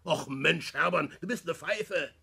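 An actor playing a brash, impatient small-time crook with a Berlin accent in a 1960s German radio play, mocking and exasperated. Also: male voice, on the high side, unhurried, vocal fry, pushed and bright, breathy edge, full character voice.

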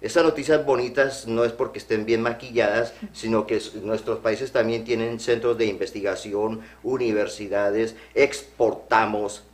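A middle-aged man from Colombia sits for a TV studio interview, talking earnestly with frequent restarts. His voice sits fairly low and is picked up by a lapel mic. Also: steady tone, normal speed, hardly any pauses. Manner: earnest, gestures, restarts phrases. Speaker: program guest. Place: TV studio set.

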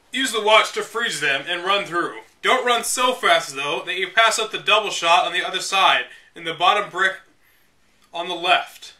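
A man's voice: high voice, sharp tone, recorded from a distance. A man hosting a read-aloud of a game guide, delivering it dramatically and breaking off for casual, sarcastic, exasperated asides. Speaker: host reading a game guide aloud with commentary.

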